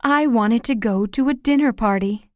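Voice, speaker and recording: A man putting on a flighty, high-voiced society mother. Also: moderate pace, darkish tone, no room sound, studio quality. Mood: anxious. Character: careless fashionable young mother.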